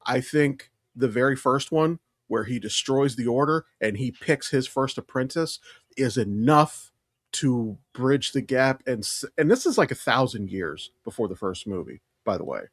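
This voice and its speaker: A man's voice: mid-range voice, expressive, moderate pace, no room sound, studio quality. A man co-hosting a podcast, talking casually and emphatically like an opinionated, enthusiastic fan explaining things. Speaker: podcast co-host.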